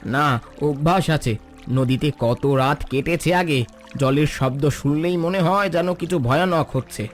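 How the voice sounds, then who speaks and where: A poor, forgiving villager in a cartoon drama, played by a man. The voice is on the higher side for a man and speaks with respectful, philosophical consolation over background music. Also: measured pace, close to an everyday voice. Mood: consoling. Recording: music bed under the voice.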